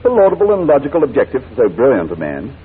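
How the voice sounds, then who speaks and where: A suave, wicked blackmailer from an old radio play. He talks quickly and formally, his pitch sliding about with mocking courtesy and menace. The recording is noisy and tinny. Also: wildly swooping pitch, husky, full character voice. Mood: coolly threatening. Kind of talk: 1940s radio drama acting.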